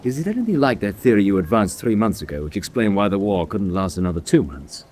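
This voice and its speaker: An actor playing a dry, sceptical prison-camp officer in a radio drama, with a wry, teasing manner. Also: male voice, on the low side, very animated, darkish tone, a little hiss or hum. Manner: wry, quick.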